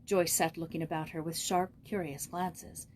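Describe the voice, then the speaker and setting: A woman with a slightly low voice narrates a children's story expressively. Faint noise sits behind the reading. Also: little pitch movement, normal speed, darkish tone. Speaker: audiobook reader.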